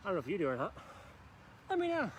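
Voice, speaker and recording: A man's voice: sits high, wildly swooping pitch, fast talker, slight background noise. A man leading a live workout in a park, coaching upbeat and encouraging while doing the moves himself and joking about his own slip-ups. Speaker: fitness instructor. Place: outdoor park.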